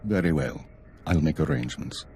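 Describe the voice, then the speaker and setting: Deep, mellow, stern spymaster. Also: male voice, animated, slight background noise. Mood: deferential, controlled. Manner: measured, formal, dry. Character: stern, weary male intelligence spymaster, middle-aged. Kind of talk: scripted game cutscene dialogue.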